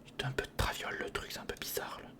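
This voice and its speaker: A man whispering breathily in a casual ASMR commentary, murmuring step by step to the viewer as if to a friend. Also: rapid-fire. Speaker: ASMR video creator, host. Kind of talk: ASMR, casual running commentary while building a model. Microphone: close recorder microphone.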